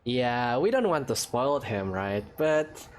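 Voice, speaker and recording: Very low-voiced Indonesian-accented woman gamer. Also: big pitch swings, takes it slowly. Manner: chatty, playful. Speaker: gaming video host. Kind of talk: casual gameplay commentary.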